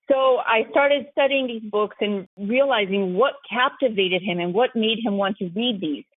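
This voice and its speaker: A woman's voice: on the high side, no room sound. Woman author on a narrow phone-like line. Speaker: author being interviewed. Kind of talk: conversational storytelling interview answers. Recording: thin phone-like sound.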